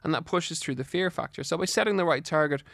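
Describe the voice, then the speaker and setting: A man's voice: fairly high pitch, fast, vocal fry, fairly bright tone, no echo, clean studio sound. An Irish man with a slight lisp hosting a health podcast solo, giving advice in an earnest, encouraging, instructive way. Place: studio.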